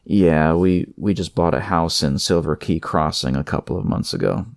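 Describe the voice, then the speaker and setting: A man plays a subdued father in an audio story. His voice sits low and a little gravelly as he placates his wife. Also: moderate pace, dry recording, studio quality. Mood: subdued, placating.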